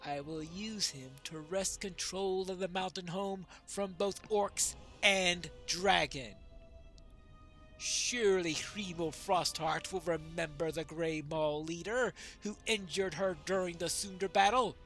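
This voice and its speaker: A man's voice: sits high, fairly even intonation, slow, fairly bright tone, faint music behind, exaggerated voice. A man voicing a solemn, resolute dwarf prince in a fantasy game, with formal, declamatory delivery. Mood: solemn, brooding. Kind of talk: dramatic reading of fantasy game dialogue.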